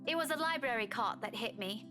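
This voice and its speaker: A woman's voice: fairly high pitch, lively intonation, a little fast, music in the background, lightly acted. An actress playing an anxious, easily indignant young woman teacher in a visual novel. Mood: sheepish.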